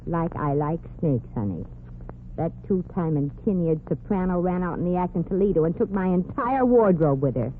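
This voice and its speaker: Actress doing a sassy new showgirl, lively voice bouncing all over, tinny old radio sound. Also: lowish voice, moderate pace, a little hiss or hum, natural voice. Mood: wry. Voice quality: brassy, slangy wisecracks. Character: wisecracking brassy young showgirl, streetwise and sarcastic. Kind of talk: 1940s radio comedy acting.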